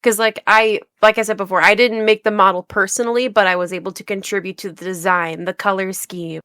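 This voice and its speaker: Woman, interview guest, giggly, says "like" a lot, bright, smooth voice. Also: medium pitch, fairly even intonation, a little fast, no room sound, clean studio sound. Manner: giggly, fills her speech with "like". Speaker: guest, VTuber. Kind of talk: casual interview chat.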